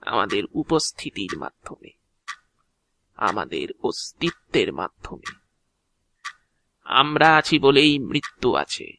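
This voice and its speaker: Man playing a theatrical, expressive agent of Death. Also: mid-range voice, dry recording, full character voice. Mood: solemn, patient. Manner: measured, theatrical, explanatory, with deliberate pauses. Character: male agent of Death, unhurried, courteous, bureaucratic, faintly amused. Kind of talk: Bengali audio drama acting.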